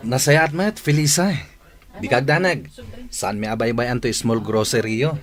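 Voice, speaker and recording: A man's voice: medium pitch, normal speed, soft background music, close to an everyday voice. A man playing an obliging, reassuring young shop helper in an audio drama. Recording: faint background noise, clicks.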